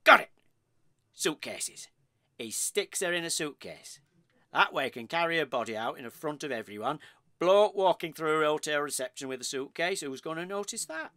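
Morbid, gossipy British husband, comic radio play. Theatrical and forceful, very dry close recording. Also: male voice, fairly high pitch, expressive, normal speed, dry recording. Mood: scheming, earnest. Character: excitable morbid husband spinning lurid murder theories.

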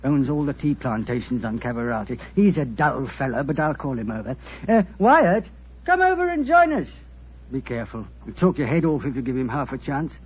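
An actor playing a talkative, gossipy ship's doctor in a 1940s radio drama on a dull old recording. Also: male voice, medium pitch, big pitch swings, normal speed, breathy edge. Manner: chatty, gossipy, chuckling.

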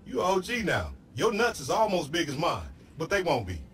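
High, mouthy slacker hustler. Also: male voice, huge pitch swings, moderate pace, fairly bright tone. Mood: teasing. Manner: theatrical, slangy, boastful trash talk. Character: brash, mouthy, aggrieved slacker hustler buddy. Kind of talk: video game comedy acting.

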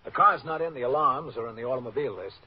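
Actor as a matter-of-fact police captain, old radio. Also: male voice, mid-range voice, fast talker, slightly rough, lightly acted. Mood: businesslike. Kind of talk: scripted old-time radio police drama, factual report. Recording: dull, noisy old radio recording.

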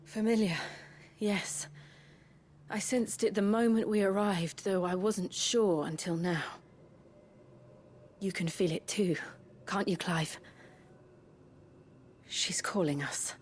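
A gentle young warrior woman of a fantasy video game , played by an actress. She speaks slowly and gravely in a hushed, measured way. Noticeable ambient noise sits behind her voice. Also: medium pitch, close to an everyday voice. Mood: hushed, solemn.

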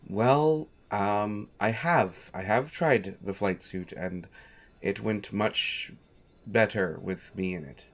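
Man performing a loyal manservant, low-pitched, unhurried, polite, dry wit, patient, audio roleplay. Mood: patient, hesitant. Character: devoted, patient, dryly wry male manservant. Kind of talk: scripted audio roleplay, speaking directly to listener.

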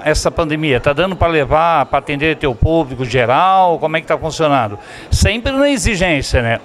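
A middle-aged man hosting a TV show, enthusiastically interviewing and promoting to viewers from inside a restaurant on a handheld mic. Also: fairly high pitch. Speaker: TV show host. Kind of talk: upbeat on-location interview. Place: indoor restaurant dining room. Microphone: handheld microphone.

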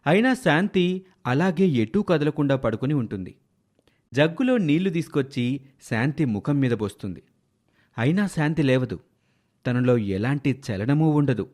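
Expressive male storyteller, lively intonation. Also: mid-range voice, normal speed, long pauses. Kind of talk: scripted moral story narration.

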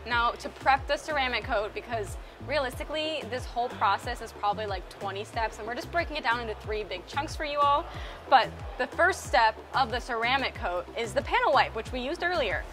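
A young woman with a slightly high voice walks through a boat-coating job in an upbeat, step-by-step manner. She speaks inside a large boat warehouse on a clip-on mic. A clear music bed plays under her voice. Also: lively intonation, fairly quick. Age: young adult. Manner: upbeat, explanatory, talks step by step. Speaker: co-host of a boating channel. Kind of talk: casual how-to vlog narration.

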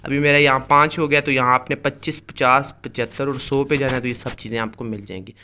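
A man's voice: medium pitch, steady tone, brisk, sharp tone, slight background noise. A man hosting a gaming video, casually explaining things straight to his viewers as he goes. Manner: explanatory, addresses viewers directly. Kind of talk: casual screen-recorded game commentary.

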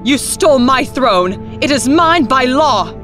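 A woman's voice: sits high, expressive, takes it slowly, music in the background. A woman playing a defiant, imperious alien usurper who commands a warship in an audio drama. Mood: defiant, haughty.

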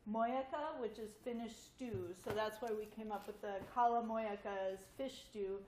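A woman's voice: a little high, darkish tone. An American woman in her forties, a guest speaker telling family stories informally to a group. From United States. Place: large wood-paneled community hall. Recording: roomy echo in a hall.

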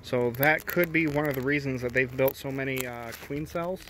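Male beekeeper, hesitant casual explainer, average pitch, outdoor camera audio with faint buzzing. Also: fairly bright tone, slight background noise. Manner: halting, many uh and um fillers. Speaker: beekeeper, co-host. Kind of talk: casual explaining during a hive inspection. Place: outdoors at a backyard beehive. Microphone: handheld camera mic. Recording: outdoor take with faint bee buzz.

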